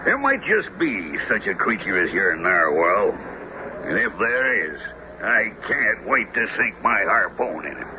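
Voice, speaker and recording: Bold sailor harpooner, very noisy recording. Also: male voice, lowish voice, very animated, a little slow. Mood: skeptical, confident. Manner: hearty, emphatic, dramatic. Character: bold, confident male harpooner and seasoned sailor. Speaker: actor. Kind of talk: radio drama acting. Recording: noisy, muffled old radio recording.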